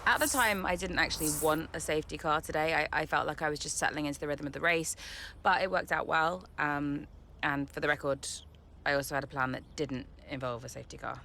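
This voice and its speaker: A woman's voice: low voice, a little fast, gravelly, bright, forceful tone, a little hiss or hum, heavily acted. A woman playing a guarded, wry racing driver who gives hesitant, diplomatic answers in a game's interview scene. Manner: hesitant, diplomatic, dryly candid. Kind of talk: naturalistic interview acting.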